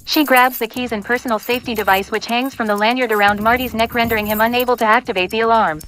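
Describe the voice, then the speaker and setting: A woman's voice: on the high side, fairly even intonation, fast, noisy background. A woman reading scene directions as the scripted narrator of an audio play.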